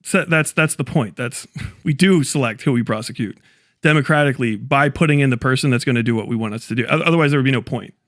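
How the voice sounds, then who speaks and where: A man's voice: mid-range voice, fast, a bit bright, dry recording, clean studio sound. A man hosting a livestream, giving casual, profanity-laced commentary in an exasperated, incredulous, argumentative manner. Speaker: livestream host reviewing own debate.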